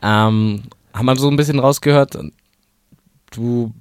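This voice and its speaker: A man's voice: medium pitch, fairly even intonation, unhurried, clean tone, no echo, clean studio sound. A man hosting a casual interview, easygoing, with short slangy reactions between questions. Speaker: interview host.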